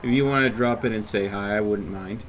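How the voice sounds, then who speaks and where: A man's voice: on the low side, brisk, a little hiss or hum, poor audio. A man livestreaming a video game in casual chat, rambling and thinking aloud as he plays. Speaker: live streamer playing a video game.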